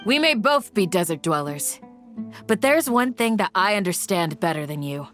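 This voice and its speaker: A woman's voice: medium pitch, expressive, normal speed, a bit bright, soft background music, lightly acted. A woman playing a stern, righteous village guardian in a video game, formal and composed with controlled anger.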